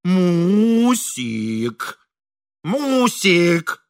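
A man voicing an excitable, gluttonous, henpecked engineer in a comic audiobook, calling out melodiously and indignantly. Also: sits high, very slow, pitched above the performer's own voice, heavily acted. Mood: pleading, sing-song. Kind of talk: audiobook character acting.

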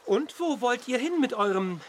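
Radio play castaway bureaucrat: nervous, formal, stammering, very lively pitch. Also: male voice, moderate pace, slight background noise. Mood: fussy, polite. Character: fussy, timid male office clerk castaway. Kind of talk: German radio play acting.

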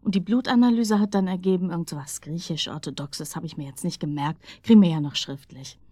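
An actress playing a casual police colleague in a radio drama, matter-of-fact and offhand with dry humour. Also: female voice, lowish voice, fast, mellow, dry recording, lightly acted. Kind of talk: naturalistic German radio drama.

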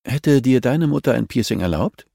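A composed professional woman in an audiobook, voiced by a man. The pitch is lifted and the manner is gentle and coaxing, with quiet self-assurance. The recording is very dry. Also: lively intonation, normal speed, gravelly, slightly rough, no room sound. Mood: gentle, probing. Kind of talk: audiobook character dialogue.

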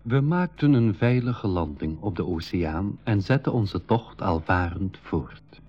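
Inquisitive young adventurer, fairly low voice, clear storytelling for a school radio serial, dull recording with music. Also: male voice, animated, a little slow, heavily acted. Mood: narrating. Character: Piet, curious young boy adventurer, Marleen's brother, narrating. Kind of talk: 1980s school radio drama, narration and dialogue.

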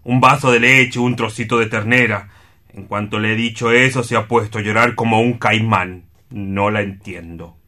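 An actor playing a gloomy, solemn and indignant war-scarred orderly in a radio play, grave, formal and plaintive. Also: male voice, fairly low pitch, steady tone, normal speed, pushed and bright. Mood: exasperated.

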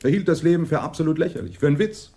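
An actor playing a melancholy male witness in a German radio crime drama, reflective and halting. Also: on the high side, expressive, warm tone. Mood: grave, reflective. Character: male neighbour of a dead man, melancholy police witness.

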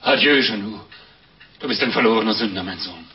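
An actor playing a pious, scheming monk in a German radio play, preachy and theatrical, by turns sanctimonious and agitated. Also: male voice, lowish voice, fairly quick, far from the mic, natural voice. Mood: agitated pleading. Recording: dull radio recording with music behind.

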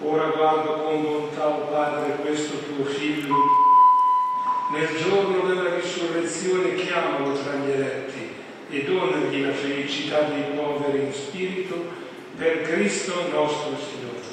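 A man reciting a liturgical prayer as a priest, solemn and deliberate in his phrasing. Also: fairly high pitch, flat delivery. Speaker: priest leading funeral prayer. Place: large cathedral. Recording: echoey church, distant, very noisy.

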